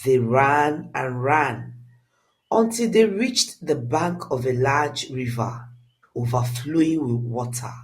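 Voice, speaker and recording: Storyteller, mid pitch, slow deliberate pace, very lively intonation, dramatic scripted narration.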